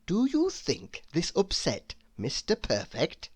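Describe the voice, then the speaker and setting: A woman narrating a children's storybook read-aloud in an expressive, deliberate storytelling manner. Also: deep voice, breathy edge, dry recording.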